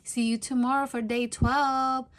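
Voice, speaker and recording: A woman's voice: high voice, little pitch movement, unhurried. A woman hosting a children's read-aloud, narrating expressively and addressing young listeners directly. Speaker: storytime host and narrator.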